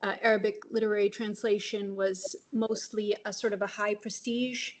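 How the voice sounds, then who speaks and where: A woman's voice: medium pitch, flat delivery, moderate pace, rough recording. A woman translator answering on a webinar panel over a video call, reflective and thinking aloud. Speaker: translator and publisher.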